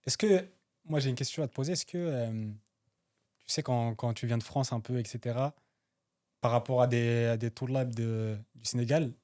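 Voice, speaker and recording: A man's voice: mid-range voice, moderate pace, warm tone. Guy interviewing casually, lots of euh, curious questions. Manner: curious, prompting questions with fillers and laughs.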